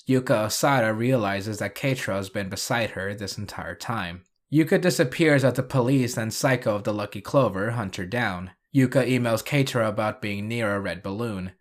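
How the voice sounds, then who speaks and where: A man narrating a scripted video review and recap, with dry, sarcastic asides. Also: fairly low pitch.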